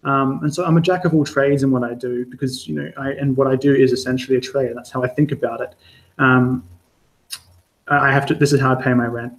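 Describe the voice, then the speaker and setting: A man's voice: mid-range voice, fairly even intonation, brisk, poor audio. A man from Adelaide with an Australian accent, a freelance journalist giving a candid talk to an online audience, hesitant, with many fillers and restarts. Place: home or studio for a live stream. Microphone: streaming setup.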